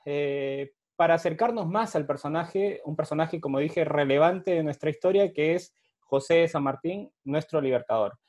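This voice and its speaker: A man's voice: on the high side, darkish tone. A young man from Peru hosting a virtual history talk on a video call from a home study, with many fillers and restarts. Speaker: host and historian. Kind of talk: virtual panel conversation. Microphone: video call with earphone mic.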